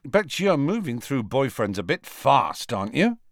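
A man voicing a brisk, cheeky young male joke-shop salesman in an audiobook story, with excitable patter. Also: medium pitch, no room sound, clean studio sound, voice pushed up. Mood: needling.

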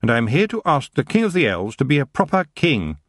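A man's voice: mid-range voice, big pitch swings, fast talker, fairly bright tone, no echo, studio quality, pitched above the performer's own voice. A man voicing a proud young female witch who speaks firmly and with scorn. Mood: earnest. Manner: firm, rising to scornful.

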